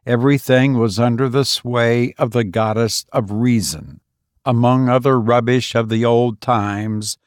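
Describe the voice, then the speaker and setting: Male audiobook narrator, unhurried and measured, solemn literary delivery, close dry sound. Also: mid-range voice, no echo. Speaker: audiobook reader.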